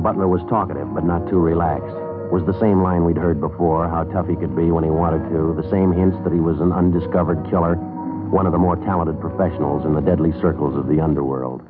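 An actor playing a terse police detective sergeant in a 1950s radio crime drama, narrating in a clipped, matter-of-fact, deadpan way. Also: male voice, sits low, natural voice. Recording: muffled old radio broadcast, music behind.

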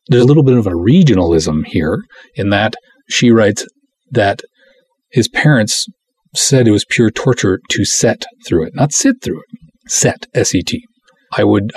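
A man's voice: on the low side, a little mellow, breathy edge. A man hosting a solo audiocast as a film director, talking conversationally and reading reviews aloud with wry, mock-dramatic commentary.

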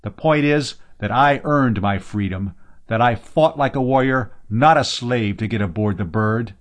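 A man's voice: medium pitch, unhurried, natural voice. Man acting a proud, haughty slave hero. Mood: proud pleading. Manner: declamatory, haughty. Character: proud, bold male slave, quick-witted bluffer. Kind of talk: audiobook character voice.

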